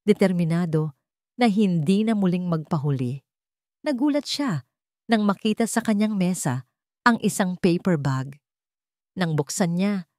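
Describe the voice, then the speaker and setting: A woman's voice: fairly low pitch, darkish tone, dry recording, studio quality. A woman storyteller reading a scripted romance story in a dramatic narrating style.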